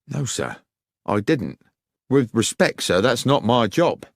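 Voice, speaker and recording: Man voicing an anxious, deferential railwayman, very animated. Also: mid-range voice, takes it slowly, creaky, no room sound, clean studio sound, heavily acted. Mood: puzzled, defensive. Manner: deferential, earnest, defensive. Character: weary, earnest working railwayman, deferential and anxious.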